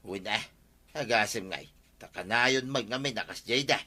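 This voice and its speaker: A gossipy village man in an Ilocano radio comedy-drama. His voice is bright and punchy, with an Ilocano accent and a familiar, neighborly manner. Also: lowish voice, normal speed. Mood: gossiping.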